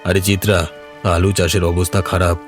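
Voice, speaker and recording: Man playing low-voiced, worried village family man. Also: flat delivery, normal speed, soft background music, natural voice. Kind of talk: cartoon voice acting.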